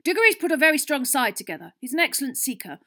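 A woman voicing an intense, anxious teenage boy sports captain in an audiobook, speaking urgently and forcefully. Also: medium pitch, normal speed, lightly acted. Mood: frantic, shouting. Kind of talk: audiobook character voice.

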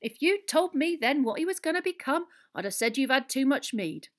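A woman voicing a chatty, curious female pub landlady, gossipy and expressive, in a storybook. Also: high voice, moderate pace, pitched above the performer's own voice.

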